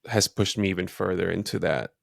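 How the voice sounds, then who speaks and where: Deep, gravelly man in his thirties. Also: fairly quick, dry recording, clean studio sound. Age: 30s. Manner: informal, repeats "you know". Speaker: podcast host. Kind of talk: casual conversational podcast chat.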